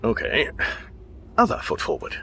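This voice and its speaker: A man's voice: moderate pace, background noise, natural voice. An actor playing a well-meaning, bemused Englishman in a radio comedy drama, with an English accent and a hesitant, coaxing manner.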